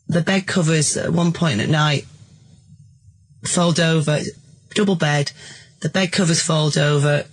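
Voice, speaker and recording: A woman's voice: sits low, low-quality recording. An earnest, emphatic British woman recounting a personal experience as a guest in a radio interview.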